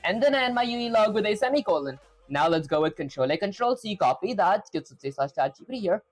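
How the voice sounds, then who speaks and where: A woman streaming herself programming, chatting casually and thinking out loud with lots of "like" fillers. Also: medium pitch, lively intonation, fast talker, soft background music. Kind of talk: casual live coding stream.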